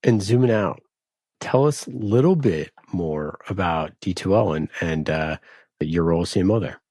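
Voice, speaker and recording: Deep husky-voiced male podcast host. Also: expressive, moderate pace, mellow, no room sound. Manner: playful, joking. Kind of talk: casual interview chat.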